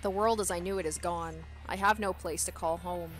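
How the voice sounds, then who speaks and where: A woman's voice: fairly low pitch, fairly even intonation, moderate pace. Woman reading a visual novel aloud over music. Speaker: let's play host and narrator.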